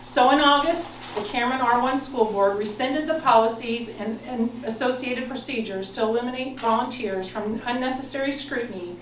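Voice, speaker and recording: A woman's voice: on the high side, normal speed, hardly any pauses. Distant American woman reading a formal statement. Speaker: school board president. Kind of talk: formal prepared statement read aloud. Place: indoor board of education meeting room. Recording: roomy, noisy, distant meeting-room recording.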